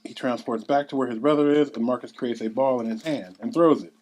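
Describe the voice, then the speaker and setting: A man's voice: medium pitch, a little fast, a little mellow. A man narrating a script at a table read, reading out scene headings and action. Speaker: narrator reading stage directions.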